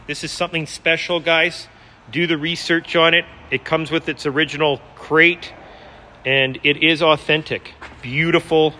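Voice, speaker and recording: A man with a fairly high, forceful voice talks off the cuff as he shows items around a big warehouse. He sounds like an enthusiastic auctioneer giving a casual preview tour. The room adds a long reverberation to his words. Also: a little hiss or hum. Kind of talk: casual unscripted walkthrough narration. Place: large concrete-floored auction warehouse, indoors. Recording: reverberant large hall.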